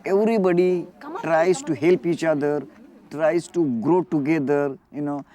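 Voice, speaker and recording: A young man, a student, talking with warm enthusiasm outdoors among a group. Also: a little high, fairly even intonation, a little slow.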